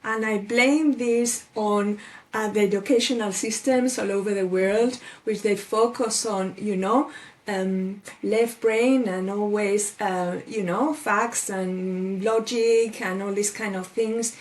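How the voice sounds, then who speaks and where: A woman's voice: mid-range voice, fairly even intonation, slow pace, darkish tone. A woman in her forties from Spain with a Spanish accent, talking thoughtfully as a guest life coach over a video call, with fillers and restarts. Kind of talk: casual interview chat.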